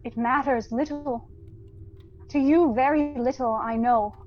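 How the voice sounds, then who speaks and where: A woman playing a sorrowful, dignified young woman in an audio drama, speaking gently and earnestly in measured phrases. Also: high voice, steady tone, highs cut off. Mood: sad, resigned. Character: sorrowful, dignified young fiancée releasing her beloved. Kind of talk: theatrical audio drama acting.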